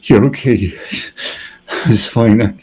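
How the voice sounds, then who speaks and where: An actor plays a panicked adventuring man in a fantasy tabletop game. His voice is husky and dark. He reassures haltingly and repetitively, gentle but plainly in denial. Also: fairly low pitch, fairly even intonation. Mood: desperate denial. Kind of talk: improvised tabletop roleplay acting.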